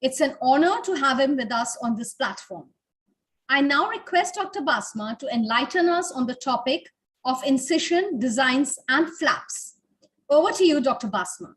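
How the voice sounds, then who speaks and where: High-pitched woman, formal webinar host. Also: animated, normal speed. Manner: formal, ceremonious. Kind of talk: formal scripted introduction.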